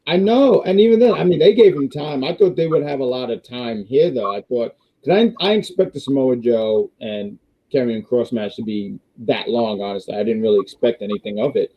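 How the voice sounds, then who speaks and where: Hoarse man hosting a wrestling review. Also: medium pitch, a little fast, dull audio. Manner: rambling, restarts, reads viewer chats. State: losing his voice. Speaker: wrestling review show host. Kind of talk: casual live-stream review chat.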